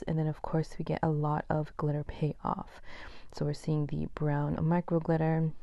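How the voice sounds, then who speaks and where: A low-voiced woman from Boston with a faint Boston accent, talking casually through a product review. Her tone is dark and gravelly, full of ums and likes. The recording is dry and a little dull. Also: dry recording. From Boston, USA. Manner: chatty, frequent ums and likes. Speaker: nail polish swatch vlogger.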